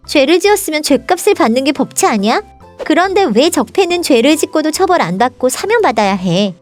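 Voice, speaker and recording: A woman's voice: high-pitched, sing-song, fairly quick, soft background music. A woman playing an indignant young storybook princess in a political satire, scolding and explaining with pointed rhetorical questions. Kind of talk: scripted political satire dialogue, storybook parody.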